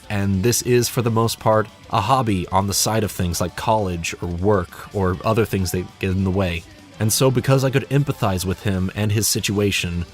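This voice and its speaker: A young man with a fairly low voice talks through a casual, scripted video update. He is wry and self-deprecating and swears freely. Faint guitar music plays behind him. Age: young adult. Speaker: hobby narrator and audio play director giving a channel update.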